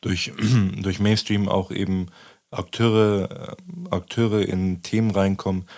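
A man speaking as a guest in a casual conversation, halting and searching for words; his first language is Romani. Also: sits low, flat delivery, darkish tone.

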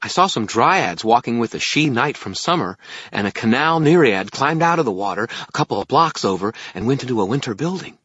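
Excitable, high-pitched tiny fairy. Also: male voice, a little fast, slightly rough, no room sound, lo-fi sound. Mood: chirpy, earnest. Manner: shrill, exclamatory, impetuous. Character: excitable tiny male fairy, impatient and pizza-obsessed. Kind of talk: audiobook character acting.

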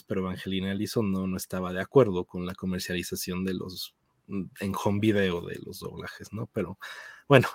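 A man's voice: medium pitch, a little mellow, no echo, studio quality. A man with a Mexican accent hosting a casual discussion, explaining things conversationally and checking in with his listeners. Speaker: host of a film dubbing discussion.

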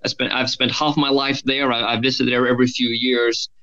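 A man with a Norwegian accent talks things through in a measured, reflective way, often restarting his sentences. His voice sits at an ordinary pitch. The recording sounds slightly dull and lo-fi. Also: little pitch movement, sharp tone. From Norway. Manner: thoughtful, measured, with frequent restarts. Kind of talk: conversational podcast discussion.

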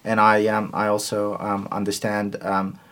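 A man whose first language is Turkish answers interview questions in a lowish voice. He talks slowly and thoughtfully, pausing often and restarting his sentences. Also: completely flat delivery, a bit bright. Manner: hesitant, many ums and restarts. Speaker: guest. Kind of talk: conversational interview.

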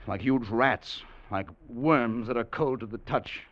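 An actor in an old radio drama playing a tense, haunted adventurer telling of his expedition, dramatic and at times halting. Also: mid-range voice, a bit bright, lightly acted. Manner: dramatic, hesitant and halting in dialogue. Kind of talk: 1940s-50s radio drama narration and acting. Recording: dull old radio recording.

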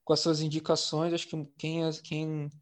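A man hosting a casual podcast chat about TV series, speaking Brazilian Portuguese in a relaxed, slangy way full of fillers. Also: a little high, moderate pace. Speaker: podcast host.

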